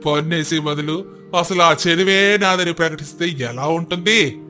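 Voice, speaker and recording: Village landlord played by a man: greedy, pompous, scheming, with a forceful sharp edge over faint music. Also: fairly high pitch, measured pace. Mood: haughty, scheming.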